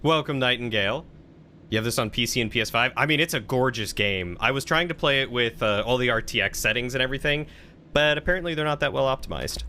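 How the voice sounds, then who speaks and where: A man gives casual gameplay commentary on a live stream. His voice is bright and of medium pitch, and his delivery is chatty and playful. Faint noise sits behind him. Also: expressive, fast.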